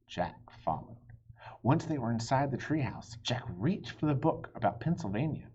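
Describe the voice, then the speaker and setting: A man reading a children's story aloud as an expressive audiobook narrator. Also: mid-range voice, moderate pace, breathy edge. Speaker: audiobook reader. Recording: dull, lo-fi audio.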